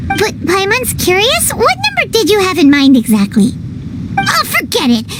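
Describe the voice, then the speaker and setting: Squeaky little fairy companion, very high, cheeky, animated, outdoor ambience behind. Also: female voice, normal speed, heavy background noise, full character voice. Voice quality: squeaky, childlike. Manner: exclamatory, refers to self in third person. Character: Paimon, tiny excitable childlike floating companion, cheeky.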